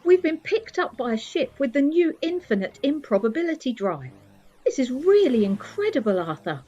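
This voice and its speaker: Woman as a high-voiced, breezy space hitchhiker. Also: very animated, normal speed, warm tone, dull audio. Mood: curious, excited. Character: upbeat, self-satisfied space-hitchhiking friend. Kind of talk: comic sci-fi audio drama acting.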